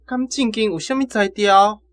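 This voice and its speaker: A man's voice: high voice, normal speed, fairly bright tone. A man with a Taiwanese accent playing a coarse, scornful soldier in a radio drama. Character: coarse, scornful male army man, foul-mouthed.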